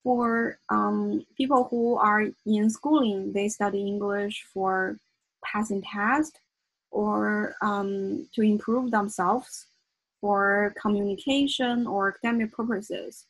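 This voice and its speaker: Woman, lecturer, breathy voice, dry recording. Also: medium pitch, steady tone, slow, dry recording, rough recording. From China. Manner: frequent ums and self-corrections. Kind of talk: academic presentation.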